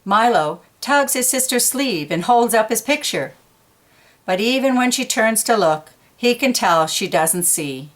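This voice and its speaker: A woman's voice: on the high side, lively intonation, measured pace, a bit bright. Woman reading a picture book aloud. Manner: explains pictures in informal asides between read lines. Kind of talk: read-aloud storytelling with conversational asides to children.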